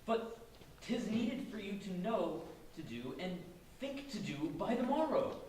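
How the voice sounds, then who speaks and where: A man's voice: high-pitched, very animated, a little slow, darkish tone. A man playing the stern spirit of an English colonist, speaking formally and archaically in an amateur staged reading. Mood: insistent. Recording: very echoey hall, distant, faint noise.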